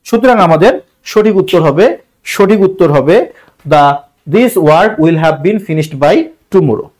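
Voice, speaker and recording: A man's voice: high voice, expressive, slow pace, a little mellow. A man with a Bangladeshi accent teaching an exam-prep lesson in a colloquial way, asking rhetorical questions and repeating key phrases. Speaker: online English grammar teacher.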